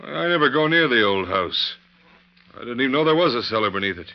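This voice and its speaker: An actor playing a gruff, suspicious ranch foreman with blustering cowboy talk in an old radio western. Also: mid-range voice, lively intonation, fairly quick, lightly acted. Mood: blustering, defensive. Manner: blustering, folksy cowboy speech. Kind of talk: 1940s western radio acting. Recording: dull vintage radio recording with noise.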